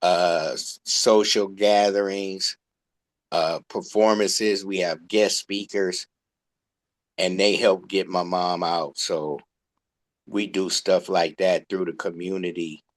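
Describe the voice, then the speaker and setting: A man talking casually as a co-interviewer, halting and rambling as he goes. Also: sits low, steady tone. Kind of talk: casual conversation.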